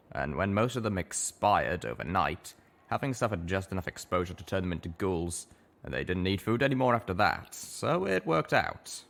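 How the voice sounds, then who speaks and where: A man's voice: low voice, creaky, fairly bright tone, heavily acted. A man voicing a jaded, sardonic century-old ghoul pony in a colt's body, rambling wearily in a storybook reading.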